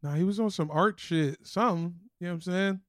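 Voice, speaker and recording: A man's voice: sits high, a little fast, a bit bright, no room sound, clean studio sound. A man co-hosting a podcast with casual, irreverent comic banter full of slang and swearing. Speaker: podcast co-host.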